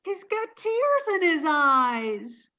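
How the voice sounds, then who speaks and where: A woman playing a naive, soft-hearted, scatterbrained young woman in a 1940s-style radio comedy. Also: very high voice, very animated, very slow, dull audio, exaggerated voice. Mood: pleading.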